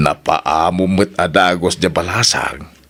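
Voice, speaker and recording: A man's voice: sits low, fairly bright tone, voice pushed down, heavily acted. A man voicing a teasing, nosy older male friend in a drama, goading in a drawl with an Ilocano accent. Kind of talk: Ilocano radio-style drama acting.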